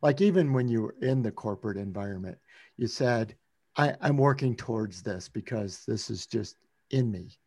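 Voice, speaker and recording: A man co-hosting a podcast, asking a guest probing questions in a relaxed conversational way. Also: lowish voice, normal speed. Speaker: podcast co-host. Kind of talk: interview questions.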